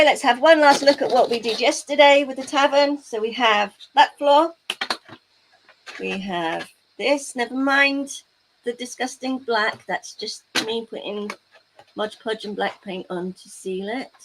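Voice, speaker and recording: A woman hosts a relaxed crafting livestream, answering her viewers with playful, teasing remarks. Her voice sits a little high, and she talks in a slow, easy way. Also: noisy background. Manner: playful, teasing asides to chat. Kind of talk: casual chat while crafting, reading out chat.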